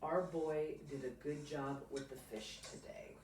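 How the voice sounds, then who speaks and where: Gentle storybook father acted by a woman, encouraging, plain-spoken, unhurried, roomy distant recording. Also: low voice, fairly even intonation. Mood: proud. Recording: roomy, distant mic, faint noise.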